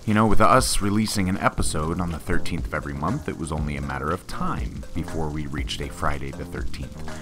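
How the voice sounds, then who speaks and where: A male podcast host talks informally, as if chatting to regular listeners. His voice is extremely deep, and music plays clearly behind him. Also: brisk. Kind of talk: informal spoken introduction.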